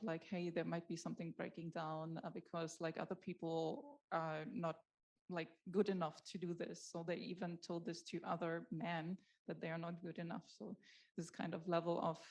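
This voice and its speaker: A woman's voice: lowish voice, darkish tone. A woman speaking as a panelist, hesitant and full of fillers. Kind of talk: panel discussion.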